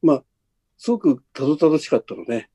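A man's voice: a little high, lively intonation, a little fast, warm tone, slightly rough, no room sound. A man hosting a casual behind-the-scenes chat, speaking in a conversational, encouraging way. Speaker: podcast host, radio drama producer.

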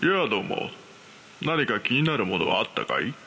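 Man acting as easygoing bazaar vendor, horror radio drama, offhand, coaxing, lively swooping intonation, mid-pitched voice. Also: fairly quick, a little hiss or hum. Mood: laid-back. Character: casual, easygoing male bazaar game seller, faintly suspicious.

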